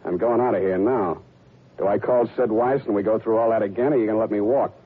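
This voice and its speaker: Radio noir: blunt, world-weary American gumshoe, lowish pitch, muffled old recording. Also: male voice, fast, husky. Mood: impatient. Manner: clipped, wry, world-weary. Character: hard-boiled private detective, blunt, wry, impatient man. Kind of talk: 1940s radio detective acting. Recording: dull, noisy old radio recording with rumble.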